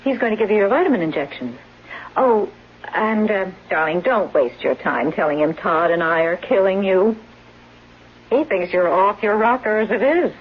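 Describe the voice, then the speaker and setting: An actress playing a scheming, coolly taunting caregiver in a 1970s radio drama, breezy and mocking. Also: female voice, medium pitch, lively intonation, normal speed, slight background noise, close to an everyday voice. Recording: muffled old radio recording.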